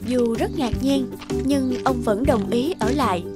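A woman narrates a children's folktale in a high voice. The delivery is scripted storytelling, and music plays clearly underneath. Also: unhurried. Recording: music behind the voice.

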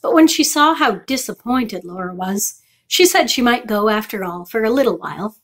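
Woman, middle-aged, slightly high voice, storytime read-aloud, children's chapter book. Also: lively intonation, moderate pace, a bit bright. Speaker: storytime reader. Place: small furnished room indoors.

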